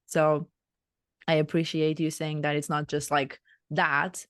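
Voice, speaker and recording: A woman's voice: low-pitched, level tone, unhurried, a bit bright, no echo, studio quality. A woman from Portugal with a Portuguese accent, a native Portuguese speaker, hosting a podcast interview in a reflective, conversational style. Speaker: podcast host.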